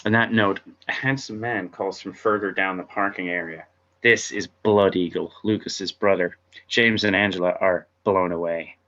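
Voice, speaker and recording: Stage-direction reader: male, very low, crisp-edged and bright, wry, on a slightly muffled recording. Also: fairly even intonation, normal speed. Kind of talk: scripted narration at a table read.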